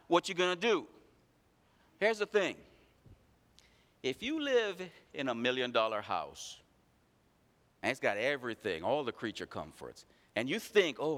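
A man's voice: fairly high pitch, a bit bright, big-room sound. A man preaching a sermon, calling out his congregation with rhetorical questions. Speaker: pastor.

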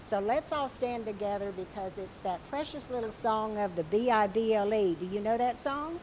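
Raspy woman telling a Bible story. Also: medium pitch, normal speed, background noise. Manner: expressive storytelling with gasps and questions. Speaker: children's church teacher. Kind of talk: Bible story and lesson for children.

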